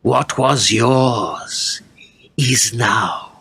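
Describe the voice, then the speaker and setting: A man's voice: medium pitch, very slow, creaky, bright, forceful tone, breathy edge, exaggerated voice. An actor playing a haughty, greedy warrior king and father in a radio play, with pompous, archaic delivery. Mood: scornful, gloating. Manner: pompous, declamatory archaic speech.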